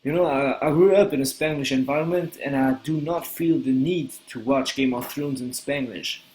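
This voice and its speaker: A young man playing a male actor who answers an interview question with a relaxed, slightly boastful manner. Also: medium pitch, normal speed, slight background noise, close to an everyday voice, rough recording. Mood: offhand.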